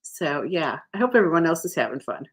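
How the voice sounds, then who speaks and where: A woman's voice: low-pitched, very animated, a little fast, breathy edge. A woman hosting a live crochet stream, chatting casually and rambling with many fillers, restarts and easy laughs.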